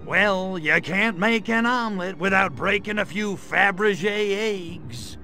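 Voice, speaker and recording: A man voicing a sarcastic gray alien invader in a video game cutscene, delivering deadpan quips. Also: high voice, a little slow, faint music behind, full character voice. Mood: sardonic.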